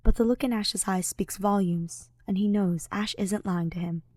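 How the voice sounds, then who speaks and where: A woman's voice: mid-range voice, steady tone, moderate pace, darkish tone, no echo, studio quality. A woman reading fiction aloud as a scripted audiobook narrator. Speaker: podfic narrator.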